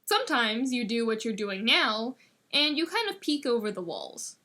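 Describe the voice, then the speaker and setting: A woman's voice: fairly high pitch, big pitch swings, moderate pace, sharp tone, exaggerated voice. A woman voicing a blunt, teasing young man who gives a friend authoritative advice in an audiobook story. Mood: frank advice. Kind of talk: audiobook character dialogue.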